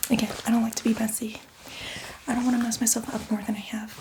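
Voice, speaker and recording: A woman's voice: on the high side, level tone, fast, noisy background, lightly acted. A woman playing a chatty, apologetic, casually bloodthirsty zombie in a whispered ASMR roleplay, rambling and reassuring. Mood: apologetic, soothing.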